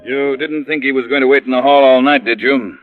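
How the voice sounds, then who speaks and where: An actor playing a skeptical, needling police lieutenant in an old radio crime drama, firing curt questions. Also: male voice, medium pitch, fairly even intonation, a little fast, over music, lightly acted. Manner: curt, interrogating. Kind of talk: 1940s-50s radio crime drama acting. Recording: muffled, band-limited old radio recording.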